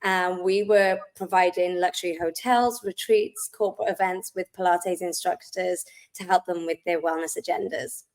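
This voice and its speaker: A woman's voice: lowish voice, fairly even intonation, moderate pace, few pauses, poor audio. A woman from South Wales with a Welsh accent, a guest in a casual interview, talking in a friendly, chatty way with frequent ums. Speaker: interview guest, wellness business founder.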